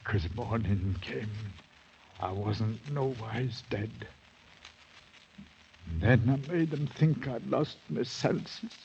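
A man in a 1940s radio drama playing a broken, half-mad adventurer, rambling and halting in rough uneducated speech with a wavering voice. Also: mid-range voice, a little mellow, heavily acted. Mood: crazed, broken. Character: broken, half-mad ragged British adventurer back from captivity. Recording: noisy, dull 1940s radio recording.

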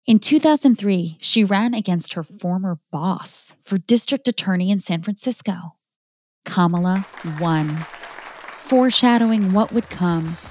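A woman's voice: mid-range voice, darkish tone. A woman narrating a scripted biography as a children's story, explaining things in simple words.

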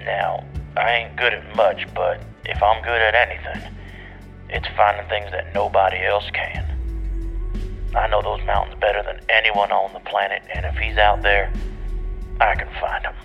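A man's voice: fairly low pitch, level tone, moderate pace, vocal fry. A man playing a folksy, plainspoken older outdoorsman leaving a voicemail in an audio drama. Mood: earnest, neighborly. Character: folksy older outdoorsman offering his tracking services. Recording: muffled radio-like call, music behind.

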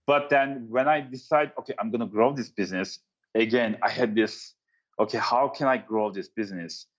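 A man from Turkey with a Turkish accent, answering interview questions in a halting way with many fillers. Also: mid-range voice, highs cut off. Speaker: guest, company founder.

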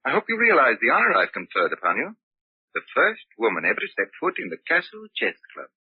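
A man's voice: medium pitch, wildly swooping pitch, fairly quick, breathy edge, dry recording, full character voice. An actor playing a smug, cruel, controlling older father with suave, pompous, mock-affectionate speech in an old radio drama. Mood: smugly genteel. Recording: muffled, tinny old radio broadcast recording.

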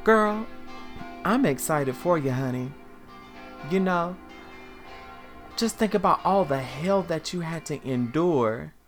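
A performer voicing a sassy woman best friend who talks loud and brassy, with faint music behind. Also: mid-range voice, expressive, takes it slowly, pauses often. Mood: hyped. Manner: brassy, fussing, calls people honey and girl.

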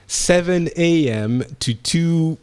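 A man's voice: on the high side, expressive, slow, a little mellow, clean tone. A man with a French accent hosting a casual online group discussion, speaking hesitantly with many fillers and restarts. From France. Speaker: podcast host. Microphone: online call.

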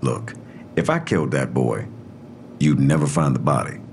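A very deep-voiced, gravelly ex-con stepfather in a crime audio drama. He is curt and menacing, brushing people off in coarse street talk. Some rumbling noise sits under the voice. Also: moderate pace. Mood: defiant, menacing. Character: hostile ex-convict stepfather, muscular, evasive and menacing.

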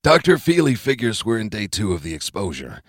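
A man voicing a blunt male presidential adviser, speaking low and confiding. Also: huge pitch swings, brisk, a bit bright, husky, dry recording, clean studio sound, full character voice.

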